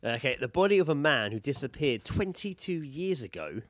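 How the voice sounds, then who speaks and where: A man's voice: mid-range voice, very animated, normal speed, no room sound. A man co-hosting a podcast, chatting casually and reading out odd news stories in an informal, sweary way. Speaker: podcast co-host.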